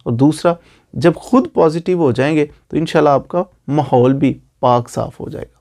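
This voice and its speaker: A man's voice: on the high side, normal speed, darkish tone. A man with a Pakistani accent giving an informal explanatory lecture with moral advice, repeating phrases for emphasis and posing rhetorical questions. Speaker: lawyer giving legal advice.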